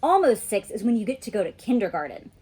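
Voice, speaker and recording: A woman voicing an outspoken, stubborn five-year-old girl in a children's storybook, with a blunt, childish, expressive delivery. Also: mid-range voice, fast, slightly rough. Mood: matter-of-fact. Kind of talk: children's storybook read aloud.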